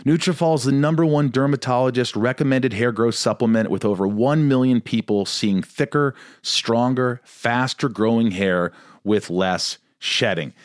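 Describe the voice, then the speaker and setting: A man reading a sponsor advertisement on a podcast in a steady promotional manner. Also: medium pitch, moderate pace, no echo, clean studio sound. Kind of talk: host-read advertisement.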